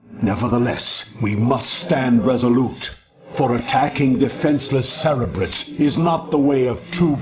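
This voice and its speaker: An actor playing a stern, haughty alien judge in a video game, speaking in a formal, pompous and accusing way. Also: male voice, mid-range voice. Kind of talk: theatrical video game briefing dialogue.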